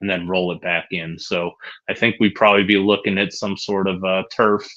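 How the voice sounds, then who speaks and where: Low, bright-toned man, hesitant. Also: little pitch movement, moderate pace. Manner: hesitant, many fillers and restarts. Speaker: podcast co-host. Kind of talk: casual sports chat. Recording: dull lo-fi sound.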